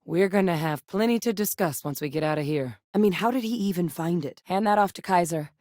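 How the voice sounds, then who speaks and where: Low-voiced, no-nonsense sci-fi military veteran played by an actress. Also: female voice, brisk, a little mellow, no echo, clean studio sound, natural voice. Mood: composed, matter-of-fact. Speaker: video game voice actress. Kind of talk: scripted video game character dialogue.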